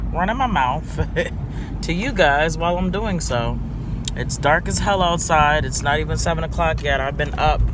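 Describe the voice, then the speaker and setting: Deep-voiced woman rambling outdoors. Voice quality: deep, commanding voice. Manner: rambling, lots of ums and asides. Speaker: vlogger. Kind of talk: casual rambling monologue. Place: outdoors at night, walking a dog. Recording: outdoor street noise with passing cars.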